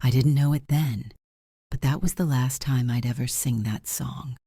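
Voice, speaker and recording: Lady doing a jaded club singer, really deep mellow voice, wry, noir radio drama. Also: steady tone, moderate pace, slightly rough, no room sound, clean studio sound, exaggerated voice. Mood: wistful. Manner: wry, cool, hard-edged. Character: world-weary, guarded female nightclub torch singer.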